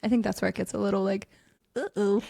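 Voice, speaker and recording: A woman's voice: medium pitch, steady tone, quick pace, gravelly, dark tone, no room sound, studio quality, soft background music. A woman co-hosting a paranormal podcast, bubbly and enthusiastic, her voice scratchy from allergies. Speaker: podcast co-host. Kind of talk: casual podcast chat.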